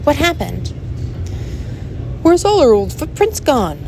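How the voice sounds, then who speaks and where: A woman voicing an excitable, simple-minded tiny male pixie in a storybook reading, with clear noise behind. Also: sits high, slow pace, pitched above the performer's own voice, exaggerated voice. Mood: bewildered.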